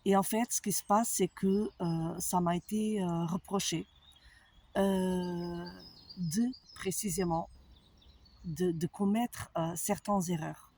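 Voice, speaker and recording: A woman with a foreign accent, a holistic therapist talking to her followers in a slow, halting way with many pauses and fillers. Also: fairly low pitch, steady tone, mellow. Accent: foreign accent in French. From abroad, not France. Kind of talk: personal monologue to followers.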